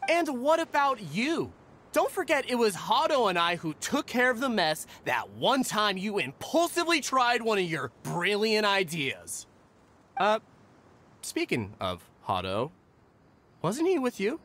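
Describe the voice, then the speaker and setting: A man's voice: sits high, huge pitch swings, measured pace, fairly bright tone, heavily acted. An actor playing an earnest, testy young male caretaker in a video game, fussy and articulate, with faint noise behind.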